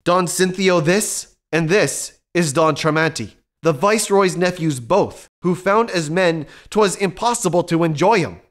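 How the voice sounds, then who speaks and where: A man plays an earnest physician and counselor in a period audio comedy. His slightly high voice is formal and measured, reasoning persuasively like a gentleman. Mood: earnest, soothing.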